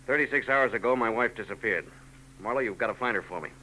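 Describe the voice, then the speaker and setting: An actor playing a grim, brusque husband in a 1940s radio drama, curt and tense. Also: male voice, fairly low pitch, fast talker, bright, forceful tone, low-quality recording. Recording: old radio broadcast with hiss.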